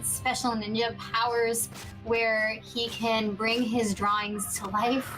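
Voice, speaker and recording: A woman's voice: a little high, level tone, takes it slowly, low-quality recording. A woman hosting a casual gameplay chat, explaining things in a conversational way. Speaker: podcast host presenting gameplay. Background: music behind the voice.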